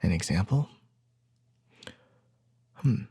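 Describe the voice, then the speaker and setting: A man playing a gentle, reassuring young boyfriend in an intimate ASMR roleplay, speaking softly and tenderly, often close to a whisper, with hesitant pauses. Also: sits low, animated, exaggerated voice. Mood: thoughtful, soothing. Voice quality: soft, breathy, husky, often near a whisper.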